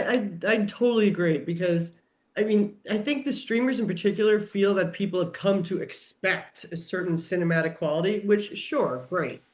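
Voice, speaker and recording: A man chatting casually as a podcast panelist, making his points in an emphatic, conversational way. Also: high-pitched.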